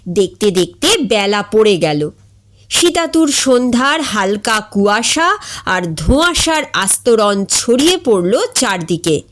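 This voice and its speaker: A woman reading a comic story aloud in an expressive, deliberate narrating style. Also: on the high side. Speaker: story reader.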